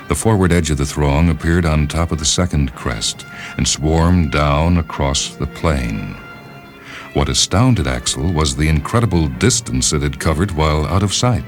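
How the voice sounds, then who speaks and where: A man reading literary fiction as a narrator, in a measured, deliberate and solemn dramatic style. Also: a bass voice. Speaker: narrator, short story reader. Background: background music.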